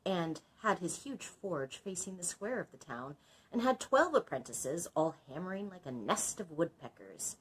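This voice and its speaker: Low-voiced, expressive woman reading a storybook. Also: normal speed, husky, rough recording. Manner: instructive, explains old words to young listeners. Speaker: librarian reading a children's book aloud. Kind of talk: expressive storybook narration with explanatory asides.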